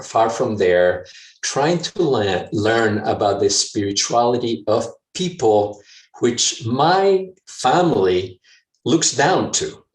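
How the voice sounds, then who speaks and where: A man from Mexico City telling a personal story to an audience in a reflective way, pausing often with fillers and restarts. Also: lowish voice, echoey room, distant mic, rough recording. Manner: hesitant, many fillers and restarts. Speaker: speaker sharing a personal story.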